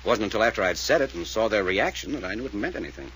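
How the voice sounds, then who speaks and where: An actor playing a level-headed, commanding American detective who explains things with authority, in 1940s radio style. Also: male voice, lowish voice, very animated, fast, a bit bright, low-quality recording. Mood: grave. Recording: old radio recording with faint hiss.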